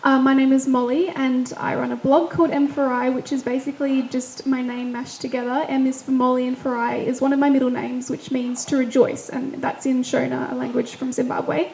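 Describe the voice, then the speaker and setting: A woman with a high voice and a fairly level delivery, moderating a panel in a friendly manner. An echoey room carries a faint murmur of ambience. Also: flat delivery, brisk, creaky, few pauses, a little mellow, a little hiss or hum.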